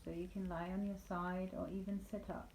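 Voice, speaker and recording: A woman guides a meditation as a yoga nidra instructor. Her tone is mellow and relaxed, with a soothing, deliberate delivery full of pauses. The recording is roomy and echoing, with a faint layer of background noise. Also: lowish voice.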